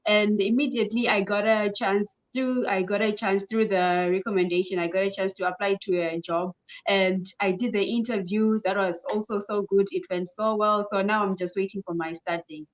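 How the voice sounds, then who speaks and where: A woman's voice: mid-range voice, steady tone, normal speed. A young woman from Zimbabwe chats as an interview guest in an easy, upbeat way. She repeats words for emphasis, and her voice sounds slightly muffled. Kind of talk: casual interview answers.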